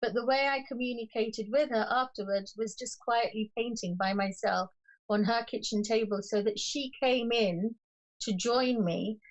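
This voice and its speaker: A woman's voice: a little high, rough recording. Woman speaking reflectively on a spiritual panel. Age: middle-aged. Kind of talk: spiritual teaching with personal examples.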